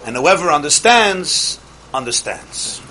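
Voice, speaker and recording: A man teaching a Torah class, lively and emphatic, mixing in Hebrew terms and swinging from loud exhortation to quiet asides. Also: fairly high pitch, slow, crowd noise.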